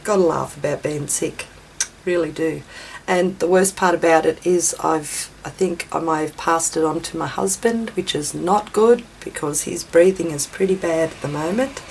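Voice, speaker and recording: An Australian woman with a slightly raspy voice from a chest infection, hosting a casual craft video in a rambling, self-deprecating way as she talks to herself. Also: low-pitched, moderate pace, darkish tone, slight background noise, rough recording. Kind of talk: casual chatty craft demonstration.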